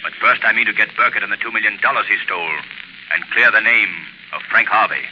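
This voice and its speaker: A menacing, unseen crime avenger acted by a man in a vintage radio thriller. He speaks low, measured and commanding, over a muffled broadcast with faint noise. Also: natural voice. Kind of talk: 1930s radio drama acting. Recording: muffled, slightly noisy 1930s radio broadcast.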